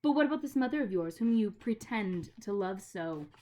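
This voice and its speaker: A woman reading aloud voices a proud, menacing witch queen who commands and then coaxes in a hushed voice. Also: medium pitch, animated, normal speed, lightly acted. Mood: tempting.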